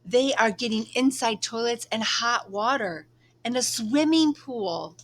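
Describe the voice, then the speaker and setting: A woman reading a children's book aloud, voicing an earnest, excitable little schoolgirl. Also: a little high, a little slow, pitched above the performer's own voice. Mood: excited.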